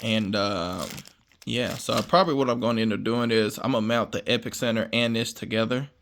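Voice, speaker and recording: A man's voice: on the low side, fairly even intonation, a little fast, vocal fry, a bit bright, slight background noise. A man with an American accent chatting in a laid-back, rambling, slangy way like a vlogger showing off gear. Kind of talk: casual unboxing vlog chat.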